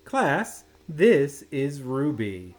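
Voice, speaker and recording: A man's voice: fairly high pitch, sing-song, very slow, exaggerated voice. A man voicing a kindly, cheerful female schoolteacher in a storybook, with an encouraging classroom manner. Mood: announcing. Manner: bright, encouraging classroom delivery.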